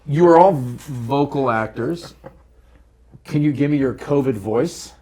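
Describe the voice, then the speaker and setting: A man's voice: mid-range voice, measured pace, dark tone. A man hosting a casual interview, chatting in a joking, teasing way like a comedian. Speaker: interview host; stand-up comedian.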